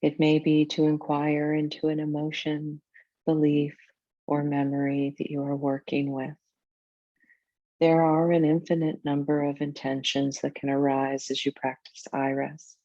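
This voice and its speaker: Very slow, soft-toned woman guiding meditation. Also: low-pitched, completely flat delivery, no echo, dull audio. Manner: soothing, deliberate, measured phrasing with pauses. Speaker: yoga nidra teacher. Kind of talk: guided meditation narration.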